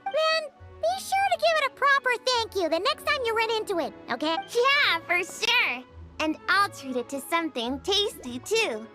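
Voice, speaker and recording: Tiny, very high-pitched fairy creature. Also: female voice, very animated, normal speed, over music. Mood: cheerful, chatty. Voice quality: high, bright, childlike. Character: small cute childlike female fairy creatures, cheerful and earnest. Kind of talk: video game voice acting.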